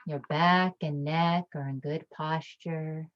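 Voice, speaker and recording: Slow, low-voiced woman guiding meditation. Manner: calm, gentle, unhurried with long pauses. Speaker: guided meditation teacher. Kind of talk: guided meditation, slow soothing instruction. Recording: dull lo-fi recording.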